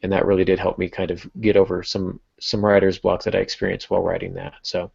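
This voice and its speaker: A man's voice: low-pitched, little pitch movement, fast, vocal fry, a little mellow, slightly rough, dry recording, highs cut off. A man hosting a casual podcast panel discussion, talking conversationally as a writer among colleagues. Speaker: podcast host and novelist.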